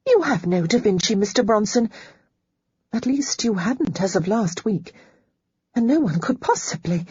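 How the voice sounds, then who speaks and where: A woman's voice: mid-range voice, animated, unhurried, darkish tone, husky, dry recording, muffled recording, pitched above the performer's own voice. A woman voicing a prim, proper young lady who patiently corrects others, lifting her voice above her own.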